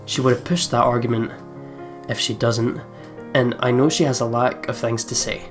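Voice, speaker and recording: A man's voice: mid-range voice, steady tone, moderate pace. Man reading a game story over faint music. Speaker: let's play host reading the story aloud. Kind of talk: expressive story reading with casual game commentary.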